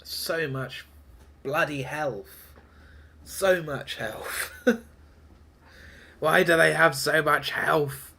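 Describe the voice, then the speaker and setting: A man's voice: on the high side, very slow, gravelly, pauses often, sharp tone. A man, congested from a heavy cold, casually commentating his own gameplay while laughing and muttering to himself. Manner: chatty, laughs often, mutters to himself between battle calls. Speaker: gaming streamer.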